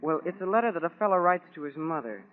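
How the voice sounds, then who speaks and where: A man's voice: a little high, lively intonation, fast talker. A man speaking as a guest actor in scripted 1940s radio banter, with polite, boyish phrasing. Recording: phone-like, tinny 1940s radio recording.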